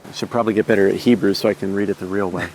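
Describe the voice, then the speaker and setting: A man's voice: fairly low pitch, steady tone, racing, darkish tone, a little hiss or hum, poor audio. A man hosting a show, asking curious, reflective questions while being shown around a monastery garden. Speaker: host interviewing a monk. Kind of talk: curious casual interview.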